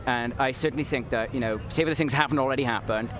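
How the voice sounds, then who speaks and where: A teenage boy from Wales with a Welsh accent, talking earnestly and at length in a live street interview outdoors. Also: mid-range voice. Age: teens. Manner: earnest, rambling, quick-talking. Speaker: interview guest. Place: outdoors at a downtown riverfront. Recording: outdoor street with a loud crowd behind.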